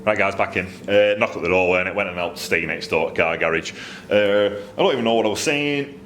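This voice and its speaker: Northern English man, deep-pitched, casual tradesman vlogger thinking aloud, with some noise behind him. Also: fairly quick, fairly bright tone. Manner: rambling, thinking aloud, colloquial. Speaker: custom exhaust fabricator presenting his own workshop video. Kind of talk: casual, unscripted workshop vlog.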